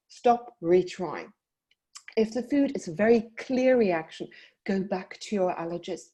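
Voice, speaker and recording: A woman with a South African accent, a paediatric dietitian presenting a webinar and advising parents in a brisk, explanatory way over a computer mic. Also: mid-range voice, mellow.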